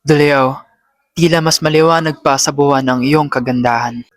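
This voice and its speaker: A man's voice: a little high, fairly even intonation, moderate pace, lightly acted. A man with a Filipino accent playing a brave, ardent young lover in an amateur radio folk drama. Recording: echoey room with faint music.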